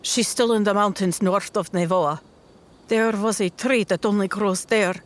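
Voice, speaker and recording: A woman's voice: medium pitch, steady tone, moderate pace. A woman player describing her character's actions in a tabletop roleplaying game.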